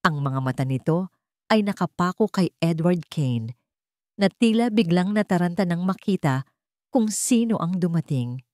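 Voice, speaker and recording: A woman's voice: lowish voice, animated, unhurried, darkish tone, no room sound. A woman narrator reading a scripted romantic drama in a dramatic storytelling style.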